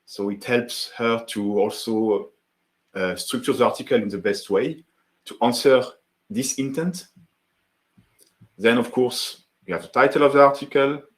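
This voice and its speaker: A man from France with a French accent, hosting a live webinar as founder of a software tool, greeting viewers in the chat and demoing his workflow. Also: mid-range voice, unhurried, rough recording. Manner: friendly, greets chat viewers by name, many fillers.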